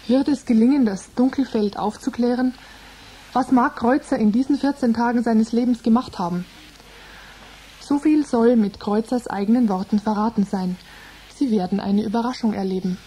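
An actress playing a radio announcer, teasing a mystery in a scripted introduction to a radio play. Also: female voice, fairly high pitch, normal speed, dark tone, husky, low-quality recording. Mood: teasing, suspenseful.

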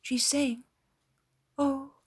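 A woman voicing a timid young shepherd boy in a breathless, hushed, awestruck way for a story. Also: sits high, level tone, extremely slow, pitched above the performer's own voice. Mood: awed, hushed.

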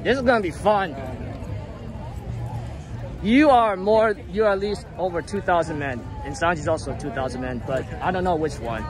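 An excitable, playful man chatting casually with fellow fans out in the open. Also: high-pitched, moderate pace. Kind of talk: casual fan chat. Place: open outdoor plaza. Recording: outdoor crowd noise. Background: crowd chatter and street sound.

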